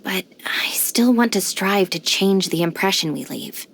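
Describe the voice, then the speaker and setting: A woman's voice: medium pitch, animated, unhurried, gravelly, husky, full character voice. A woman voicing a competent, level-headed young gang deputy in a video game, speaking in a composed, dry, matter-of-fact way. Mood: fond, resolute. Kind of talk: video game character voice lines. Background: music.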